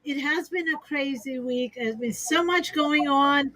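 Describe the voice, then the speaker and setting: Bright-voiced woman explaining business partnerships. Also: sits high, unhurried, no room sound. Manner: upbeat, explains in systems and examples, laughs easily. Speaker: expert guest on a business livestream. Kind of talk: conversational business talk.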